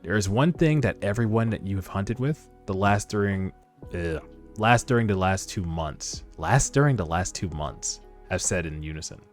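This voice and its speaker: Formal, persuasive corporate recruiter read by a man, low measured voice, webtoon dub with music behind. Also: animated. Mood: measured, courteous. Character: polite, businesslike male corporate recruiter. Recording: background music under voice.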